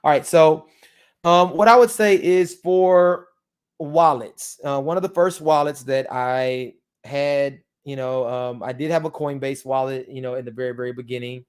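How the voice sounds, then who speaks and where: A man's voice: medium pitch, normal speed, clear, resonant tone. A man hosting a casual tutorial for his viewers, his speech slightly thickened by dental aligners, rambling with many ums and you-knows. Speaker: crypto channel host.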